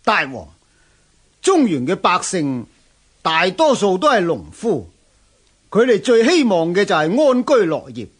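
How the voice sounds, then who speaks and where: A man's voice: high-pitched, wildly swooping pitch, measured pace, pauses often, slightly rough, full character voice. An actor playing a respectful, earnest Chinese general advising his lord in a radio drama, with a Hong Kong Cantonese accent. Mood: earnest, persuasive. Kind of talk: historical radio drama acting.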